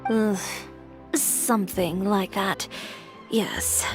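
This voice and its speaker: Confident boutique designer for a game: mid-pitched, frank, composed, faint music behind. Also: female voice, steady tone, extremely slow. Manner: composed, matter-of-fact, articulate. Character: poised, frank young female fashion designer, coolly confident. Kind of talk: video game voice acting.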